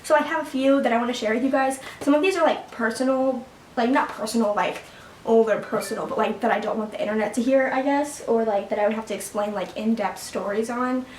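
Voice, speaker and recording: A woman's voice: on the high side, fast, distant mic, a little hiss or hum. Rambling teenage girl in an echoey room. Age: teens. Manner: rambling, filler-heavy, laughs often. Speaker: vlogger. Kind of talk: casual sit-down chat to camera. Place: her room at home. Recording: echoey room, mic set back.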